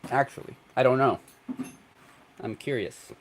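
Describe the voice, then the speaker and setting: A congested man hosting a livestream, chatting in a relaxed, rambling way while he works, chuckling often. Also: mid-range voice, a little slow, slight background noise. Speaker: 3D printing livestream host. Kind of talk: casual livestream talk while working.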